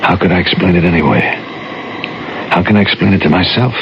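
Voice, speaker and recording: An actor playing a harried, incredulous husband with a sick wife, in a 1970s radio drama style. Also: male voice, deep voice, quick pace. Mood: tender, troubled. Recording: dull, noisy 1970s radio broadcast.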